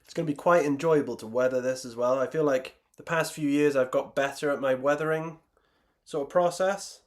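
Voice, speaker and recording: A man's voice: medium pitch, fairly quick. A man on a live stream, chatting casually and reading chat aloud, rambling and self-deprecating, while losing his voice. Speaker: streamer building miniatures.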